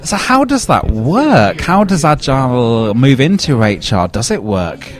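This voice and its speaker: A man's voice: medium pitch, big pitch swings, measured pace. A man hosting an interview podcast, upbeat and enthusiastic, asking questions and often saying how great things are. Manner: enthusiastic, lots of "awesome". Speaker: podcast host.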